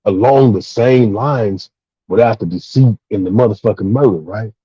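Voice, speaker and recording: Casual male panelist, husky and relaxed, halting but emphatic, swears freely, lo-fi sound. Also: on the low side. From Texas, United States. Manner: emphatic, repetitive, halting phrasing. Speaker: discussion participant. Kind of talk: casual, profane panel discussion. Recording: dull, roomy, muffled lo-fi audio.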